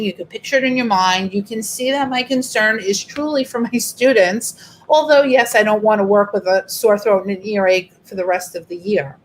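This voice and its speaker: A woman's voice: medium pitch, slight background noise, low-quality recording. A middle-aged woman teacher, walking a group through an online workshop in a chatty, explanatory way. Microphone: video call.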